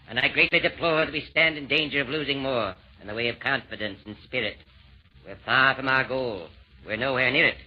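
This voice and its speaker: An actor playing a grand, commanding devil in rhymed verse, in pompous 1940s radio style. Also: male voice, mid-range voice, natural voice. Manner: pompous, oratorical. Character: grand, commanding male devil presiding over hell. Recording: muffled, noisy 1940s radio recording.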